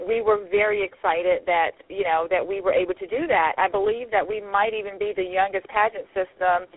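A woman in her forties talks brightly and at ease, filling pauses with "um" as she goes. Her voice sits mid-range for a woman. It comes through a thin, tinny phone line. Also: mid-range voice, fairly even intonation, no room sound. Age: 40s. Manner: upbeat, chatty, many fillers. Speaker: pageant system founder, guest. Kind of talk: casual interview over the phone.

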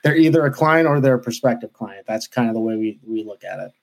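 A man's voice: medium pitch, expressive, fast, vocal fry. Chatty man, light New York accent. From New York area. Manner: hesitant, many fillers and restarts. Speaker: podcast guest, marketing professional. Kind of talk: casual interview chat.

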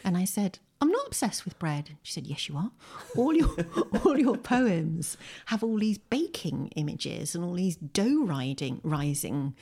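A woman with an English accent talking as a guest in a relaxed interview, wry and animated, often breaking off and restarting her sentences. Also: on the low side, a little slow, few pauses, husky. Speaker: interview guest, poet and fiction writer. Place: indoors, at home.

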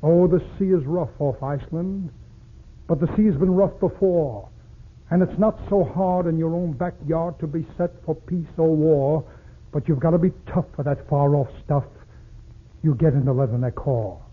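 Husky man reciting verse on vintage radio. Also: on the high side, moderate pace, narrow, tinny audio. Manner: theatrical, rhythmic, rhyming delivery. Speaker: performer reciting a patriotic verse. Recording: scratchy, band-limited 1940s radio broadcast.

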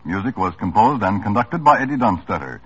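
A man's voice: lowish voice, sing-song, brisk, slightly rough. A male radio announcer reading credits and promos in 1950s network announcing style. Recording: dull old radio broadcast with music.

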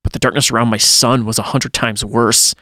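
A man's voice: medium pitch, steady tone, brisk, pushed and bright, no room sound, studio quality. A man reading a first-person horror story aloud in a dramatic storytelling style, as a podcast host would.

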